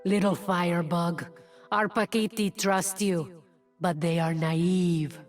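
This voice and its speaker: A fierce feline huntress from a video game, speaks slowly and deliberately. She is proud and menacing, taunting her prey. Her voice sits a little away from the mic with music behind it. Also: lowish voice, fairly even intonation, far from the mic. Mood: cautioning. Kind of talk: video game character voice lines.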